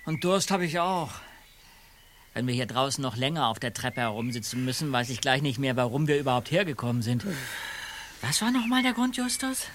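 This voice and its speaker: A man's voice: mid-range voice, expressive, brisk, fairly bright tone, slight background noise, close to an everyday voice. An actor playing a weary, complaining teenage boy detective in a German audio drama. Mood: weary, grumbling. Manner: complaining, groaning.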